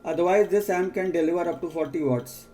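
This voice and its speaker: An Indian-accented man working as an amateur radio operator, measured and repeating key details in a procedural exchange. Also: on the high side, warm tone, slight background noise.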